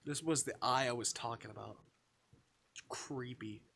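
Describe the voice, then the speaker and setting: A man livestreaming a visual novel, switching between jokey chat and reading the text aloud in a playful, expressive way. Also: medium pitch, moderate pace, gravelly. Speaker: live streamer playing a visual novel.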